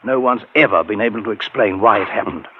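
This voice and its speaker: A man's voice: fairly low pitch, fast talker, breathy edge. A man acting a worried, cautious friend giving grave warnings in a 1940s radio horror play. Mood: worried, ominous. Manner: grave, hesitant, ominous. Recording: tinny, narrow old radio recording.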